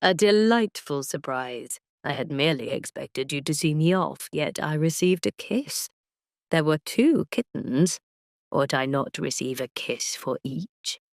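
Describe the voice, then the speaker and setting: A self-assured nobleman played by a woman narrator with a lowered pitch and a dry, close sound. He speaks formally, with playful, teasing authority, as the duke in a romance audio drama. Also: lively intonation, measured pace, creaky, no room sound, full character voice.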